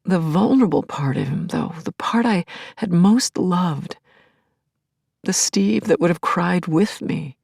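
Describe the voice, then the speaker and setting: A grieving, yearning widow in middle age, confides her story in an intimate audio play monologue. Her voice is husky and breathy, close and dry, often sinking toward a whisper. She speaks slowly and haltingly, with tender feeling. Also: on the low side, expressive, darkish tone, no echo, full character voice. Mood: wistful, confiding. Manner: confiding, halting, emotionally charged. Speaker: lead actor in an audio play. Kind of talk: intimate first-person audio drama monologue.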